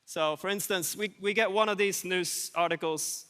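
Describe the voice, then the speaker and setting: A man's voice: high-pitched, normal speed, sharp tone. A man giving an informal conference presentation in a dry, wry, casual manner. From Sweden. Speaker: conference speaker. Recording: echoey hall with long reverb.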